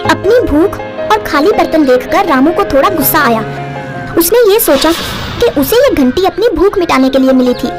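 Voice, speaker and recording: A woman narrates a children's story from a script. Her voice is high in pitch, and music plays clearly behind her. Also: animated, normal speed.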